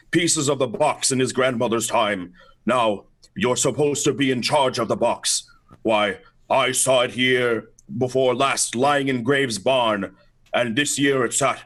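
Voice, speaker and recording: A man playing a halting, complaining man in his seventies who clings to old traditions in a radio play. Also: medium pitch, fairly bright tone. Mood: indignant. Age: 70s.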